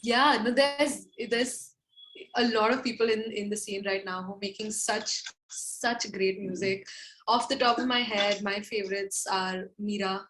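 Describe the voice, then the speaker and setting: A woman's voice: mid-range voice, steady tone, measured pace, a bit bright, echoey room, poor audio. A woman with an Indian accent chatting casually as a musician guest, gushing and enthusiastic. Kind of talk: casual chat.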